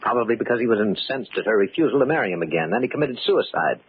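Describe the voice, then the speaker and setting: An actor playing a suspicious, evasive business partner in an old-time radio mystery, speaking in a formal, insistent, clipped way. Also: male voice, fairly low pitch, animated, rapid-fire, breathy edge. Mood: smooth reasoning. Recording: muffled, noisy old radio recording.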